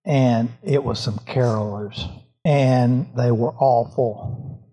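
Staff man, lilt, slow and deadpan with his joke delivery. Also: medium pitch, breathy edge, poor audio. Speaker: staff member. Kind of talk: telling corny jokes.

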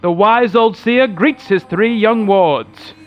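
A man's voice: high-pitched, slow pace, dull audio, soft background music. A man narrating an amateur radio theatre piece in a dramatic, scripted style.

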